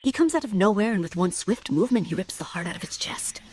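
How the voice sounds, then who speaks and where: A woman's voice: mid-range voice, lively intonation, fast, a little mellow, natural voice. A woman playing an enigmatic falconer in a crime audio drama, speaking in a cool, cryptic, understated way. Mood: quietly menacing.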